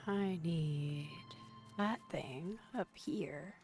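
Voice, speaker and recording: A woman streaming a game while sick, her voice squeaky, chatting and muttering to herself. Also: extremely slow, a little mellow, soft background music. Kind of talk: casual gameplay commentary.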